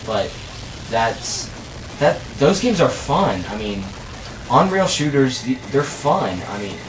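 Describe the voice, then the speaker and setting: A man's voice: medium pitch, big pitch swings, slow. A man chatting casually while playing a co-op video game, rambling and laid-back with lots of filler words. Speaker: co-op game commentator. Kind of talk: casual gaming chat. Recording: echoey room, distant mic, game noise. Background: video game sounds.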